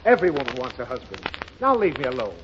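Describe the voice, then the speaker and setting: A man's voice: mid-range voice, very animated, fast, lightly acted. A man playing an exasperated, blustering father who scolds impatiently in a 1930s radio comedy. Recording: noisy, dull 1930s radio broadcast recording.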